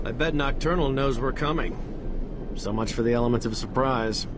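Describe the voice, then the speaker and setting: An actor voicing a gallant, sentimental adventurer knight in a video game, wry and melodramatic. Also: male voice, mid-range voice, moderate pace, lightly acted. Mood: wry, nervous. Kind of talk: video game character acting. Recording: noisy background ambience.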